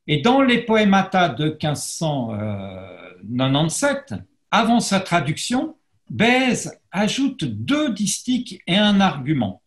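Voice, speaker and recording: A man giving an academic lecture as a historian, pausing and restarting often as he reads and translates verse. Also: on the high side, huge pitch swings, reverberant. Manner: hesitant, frequent pauses and restarts; self-deprecating about his Latin pronunciation. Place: lecture setup facing a camera, roomy.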